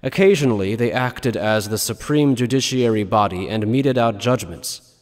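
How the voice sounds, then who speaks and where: A man's voice: mid-range voice, moderate pace, long reverb tail, close to an everyday voice. A man reading scripted narration for an anime fan dub as its storyteller.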